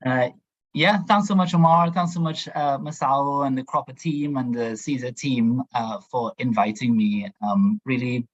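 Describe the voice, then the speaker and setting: A man with a Trinidadian accent introducing himself on a panel, talking in a halting way and often repeating words. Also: mid-range voice, fairly even intonation, dry recording. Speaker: panelist, LGBT advocate. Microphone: video call. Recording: dry, dull video call audio.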